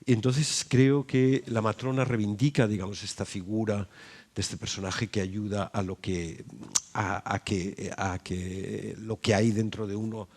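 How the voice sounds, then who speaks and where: Male panelist, fairly low voice, thinks aloud in long, halting, reflective phrases. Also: fairly even intonation, darkish tone. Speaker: panelist at a congress. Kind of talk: reflective panel discussion talk.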